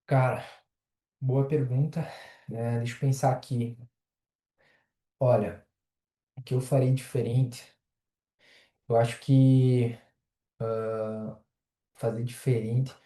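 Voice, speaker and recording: Dark-voiced man, hesitant. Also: mid-range voice, slow, pauses often, echoey room. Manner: relaxed, hesitant with many fillers. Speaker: podcast guest, affiliate marketer. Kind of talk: casual podcast chat.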